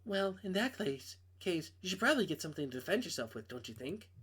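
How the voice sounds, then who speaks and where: A man voicing a sly, unsympathetic woman who insinuates softly and coolly in a visual novel. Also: high-pitched, sing-song, fast, slightly rough, exaggerated voice. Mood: sly suggestion. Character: sly, cold woman coolly taunting her friend by phone. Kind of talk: visual novel character reading.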